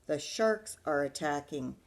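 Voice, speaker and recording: A woman's voice: low voice, lively intonation, unhurried. A woman voicing a busy storybook mother who is matter-of-fact, reassuring and firm. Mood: distracted. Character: busy, even-tempered but firm mother.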